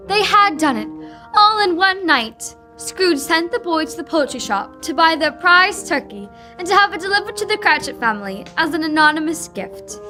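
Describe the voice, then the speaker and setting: A woman's voice: very high-pitched, sharp tone. A young woman narrating and announcing a scripted old-time radio play. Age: teenager.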